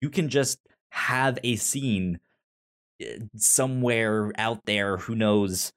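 Male co-host, lower register, stutters on sounds and words, informal halting review talk. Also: a bit bright. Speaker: podcast co-host reviewing audio dramas. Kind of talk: casual review chat.

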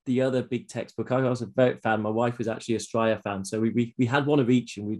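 A man's voice: mid-range voice, steady tone, fast, darkish tone. A man hosting a podcast interview, asking friendly, conversational questions. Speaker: podcast host.

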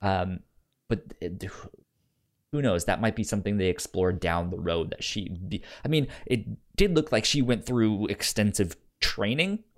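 A man co-hosting a podcast in a casual book discussion, speaking haltingly and often repeating sounds and words as he goes. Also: lowish voice, animated. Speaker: podcast co-host.

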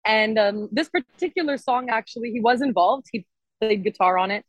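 Expressive woman in an interview. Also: a little high, moderate pace, no room sound, rough recording. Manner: enthusiastic, expressive. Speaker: singer-songwriter guest. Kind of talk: casual interview chat.

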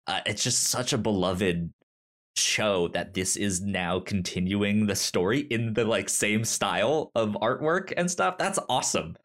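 A man's voice: on the low side, a bit bright. A man co-hosting a podcast, chatting casually with geeky enthusiasm and often circling back to rephrase himself. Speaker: podcast co-host. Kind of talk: casual chat about comics and shows.

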